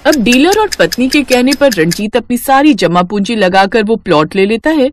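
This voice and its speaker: A woman's voice: on the high side, sing-song, normal speed, a little hiss or hum. A woman narrating a scripted moral story as its narrator.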